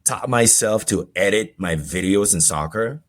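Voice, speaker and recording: Low-voiced man telling his story. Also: expressive, unhurried. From Colombia. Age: 40s. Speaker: podcast guest. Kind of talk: personal storytelling in an interview.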